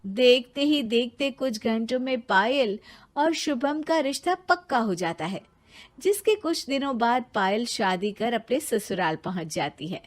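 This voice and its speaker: A woman's voice: on the high side, expressive, measured pace. A woman narrating a scripted moral story as a storyteller.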